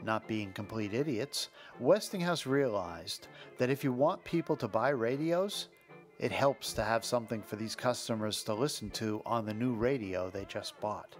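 A man's voice: lowish voice, expressive, normal speed, faint music behind. A man reading scripted documentary narration in a conversational storytelling manner with wry asides, as the host.

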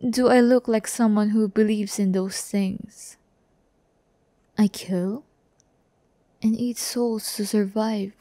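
Slow, soft-toned teasing demon girl played by a woman. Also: mid-range voice, steady tone, no room sound, lightly acted. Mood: aloof, boastful. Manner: breathy, unhurried, teasing, often dropping to a whisper. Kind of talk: intimate ASMR audio roleplay. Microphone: close-up microphone.

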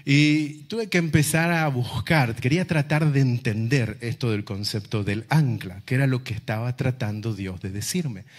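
A middle-aged Argentine man preaches from a stage into a handheld microphone. His voice sits at a medium pitch. He tells personal stories to a congregation, leaning on repetition, rhetorical questions and pauses for effect. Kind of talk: sermon with personal storytelling to a congregation. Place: large indoor church auditorium, on stage.

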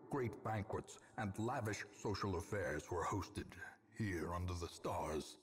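A man's voice: sits low, a little slow, husky. An actor playing a brooding, decadent old nobleman, narrating his ruin in a grave, ornate, theatrical manner as gothic game narration. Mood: brooding regret.

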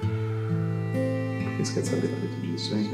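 An actor playing a secretive, controlling husband who talks smoothly and turns cold and menacing. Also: male voice, measured pace, over loud music, natural voice. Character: secretive, controlling criminal husband, young adult man. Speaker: actor in a low-budget drama. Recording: echoey, distant, loud score behind.

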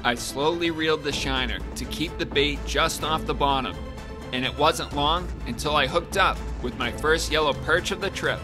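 A man in his thirties hosts a fishing show, narrating each step in a calm, steady scripted voice pitched a little high. Plucked guitar music plays clearly under his voice. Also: normal speed. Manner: calm, steady narration, excited exclamations on the boat. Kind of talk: scripted narration and casual chat on a boat. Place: small boat on a freshwater pond, outdoors.

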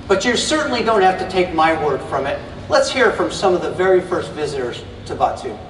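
A man's voice: sits high, very animated, brisk, noisy background. Enthusiastic man on an arena stage. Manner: enthusiastic, earnest promotional delivery. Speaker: presenter at an expo panel. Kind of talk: scripted stage presentation. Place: large arena stage, heard through the hall PA. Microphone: stage mic recorded from the audience. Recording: echoey arena from far off, faint crowd ambience.

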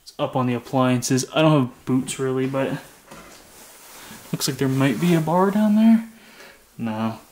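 A young man casually narrating his walk through an abandoned house, chatty and relaxed. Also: mid-range voice, measured pace, long pauses, a little hiss or hum. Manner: relaxed, chatty, frequent "like" and laughs. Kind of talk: casual walkthrough vlog. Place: inside an empty abandoned house.

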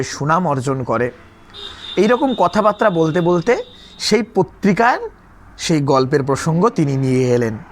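A man's voice: mid-range voice, slight background noise. A man teaching a lesson, retelling a story in an explanatory way, repeating and rephrasing points and posing rhetorical questions.

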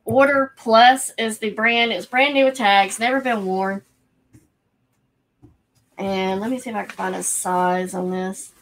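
A woman in her thirties co-hosting a live online clothing auction from a cluttered room at home, calling bids briskly and describing items plainly, recorded on a webcam at her desk. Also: medium pitch, steady tone, fairly bright tone, slight background noise.

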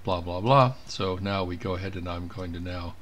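A man giving an informal step-by-step software demonstration, thinking aloud as he clicks through each step. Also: deep voice, normal speed, a little hiss or hum. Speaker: presenter of a software tutorial. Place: indoors at a computer.

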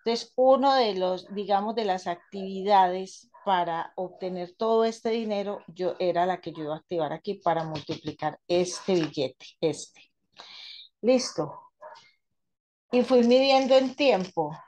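A woman talks through a hands-on demonstration. Her pitch is medium, and her delivery is halting and explanatory, with phrases repeated and reworded. It sounds like an informal spoken tutorial from someone seated at a table. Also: darkish tone, a little hiss or hum. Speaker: radionics therapist demonstrating a quantum table.

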